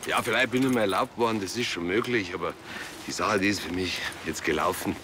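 Man as a gruff, lowish-voiced farmer. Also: a little fast, noisy background, natural voice. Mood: dismissive. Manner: brusque, dismissive. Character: gruff, evasive male farmer.

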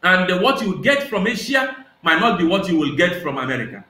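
High, bright-voiced man with a Nigerian accent. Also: very animated. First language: Igbo. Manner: measured, rhetorical, many hesitations. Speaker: guest professor. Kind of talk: reflective interview answers. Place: small indoor room. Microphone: video call. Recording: echoey room on a video call.

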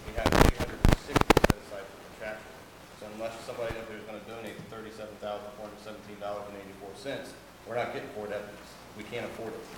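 Fast, blunt council member in an echoey hall. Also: male voice, lowish voice, level tone. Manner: blunt, fast, argues with budget figures. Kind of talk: council meeting debate. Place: large wood-paneled meeting hall. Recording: echoey hall, loud hiss.

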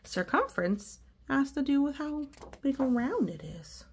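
A woman's voice: high-pitched, big pitch swings, measured pace. A woman reading a storybook aloud to children, adding reassuring asides and explaining the pictures. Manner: reassuring, talks directly to child listeners. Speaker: storybook reader.

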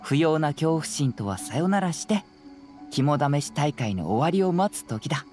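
Composed young detective. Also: male voice, on the high side, very animated, a little mellow. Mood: reassuring. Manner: measured, explanatory, self-assured. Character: youthful male detective, composed, analytical and confident. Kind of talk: anime game voice acting. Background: faint background music.

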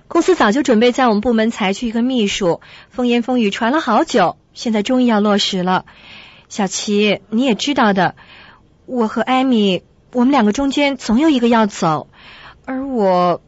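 A woman playing a gentle, anxious young secretary in a radio drama, speaking in a hesitant, subdued way. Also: fairly high pitch, normal speed, no echo, dull audio, natural voice. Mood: resigned, troubled. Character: gentle, anxious young office secretary, resigned and self-effacing.

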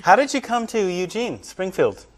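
A man hosting a studio panel interview, talking in a relaxed, loose way with plenty of fillers. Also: high-pitched, moderate pace. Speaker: TV show host. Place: TV studio, panel at a long table.

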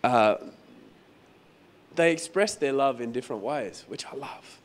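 Hoarse man preaching conversationally. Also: mid-range voice, lively intonation, measured pace. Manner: conversational, repeats phrases for emphasis. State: hoarse, croaky voice. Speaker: pastor. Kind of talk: sermon. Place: venue hall during a church service.

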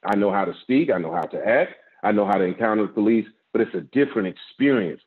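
A man in his fifties, a guest pastor, preaching a measured sermon with a strong voice from an office over a video call, rising with emphasis and repeating words as he builds. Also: fairly low pitch, lively intonation. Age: 50s. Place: office with framed certificates, indoors. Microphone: video call, laptop camera. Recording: tinny phone-like video-call audio.

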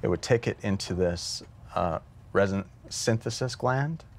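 A man's voice: lowish voice, a little slow, gravelly. A man in his thirties explaining his work as a creature designer in a behind-the-scenes interview. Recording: music playing behind the voice.

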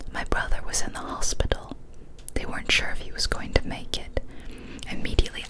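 Young woman doing asmr story reading, all soft breathy whispering right up on the mic, hushed and careful. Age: young adult. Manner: hushed, deliberate narration. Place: small decorated room indoors. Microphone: large studio microphone up close.

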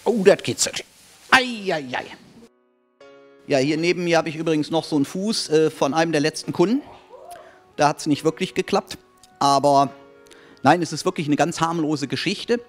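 A man's voice: on the high side, normal speed, pauses often, faint music behind. A middle-aged man hosting a casual talk show in a spa studio, chatty and joking, speaking into a handheld mic. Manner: jokey, chatty.

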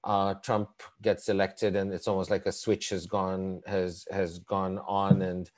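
Deep-voiced man, thoughtful and halting. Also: little pitch movement. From Canada, born in East Africa. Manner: thoughtful, halting, many repeated words. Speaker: conversation partner. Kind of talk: reflective video-call conversation.

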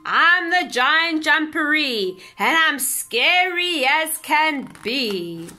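A woman voicing a loud, boastful, menacing monster in a children's storybook, with slow, drawn-out booming lines. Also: big pitch swings, faint music behind, exaggerated voice.

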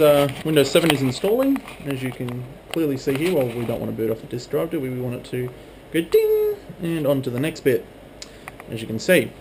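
A man's voice: mid-range voice, gravelly. A man in his twenties giving a casual, chatty hands-on narration as a video blogger, recorded on a handheld camera in a small room at home. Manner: informal, chatty. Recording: faint background noise.

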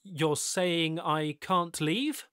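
A man's voice: on the high side, extremely slow, a bit bright, clean tone, dry recording, clean studio sound, pitched above the performer's own voice. A man voicing a homesick young American man, anxious and stubborn, pleading and rising to indignant outbursts. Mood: uneasy.

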